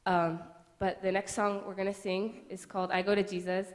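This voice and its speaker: A woman's voice: mid-range voice, level tone, normal speed. A woman of about nineteen from Connecticut giving an earnest, plain personal testimony during a church service. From Connecticut, USA. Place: church sanctuary. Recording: long echo of a church hall.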